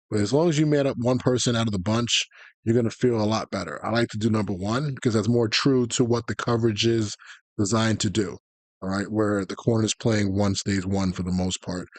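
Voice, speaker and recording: A man giving a live, conversational tutorial as a gaming coach, explaining tactics and often checking in with a quick "okay?" or "right?". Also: fairly low pitch, dry recording. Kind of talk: live explanatory commentary on football video game tactics.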